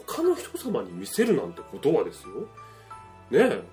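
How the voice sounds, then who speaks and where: A man's voice: medium pitch, huge pitch swings, normal speed, darkish tone. A man giving casual comic advice on a radio show, emphatic and mock-earnest, acting out imagined lines as he goes. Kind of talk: casual comedic advice chat. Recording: music playing behind the voice. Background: background music.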